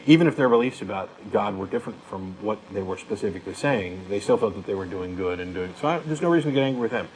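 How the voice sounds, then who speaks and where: A man with a fairly low voice talks through his views as a talk show co-host. He is emphatic and argumentative, repeating himself for stress. There is faint noise and room ambience behind him. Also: expressive, quick pace, hardly any pauses, a little mellow, poor audio. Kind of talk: conversational discussion, personal reflection.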